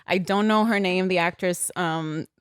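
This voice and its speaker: A woman with a lowish voice co-hosting a podcast, relaxed and rambling as she drops Italian phrases into her English. The sound is dry and close to the mic. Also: a little slow, smooth, no room sound. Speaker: podcast co-host. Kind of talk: casual chat.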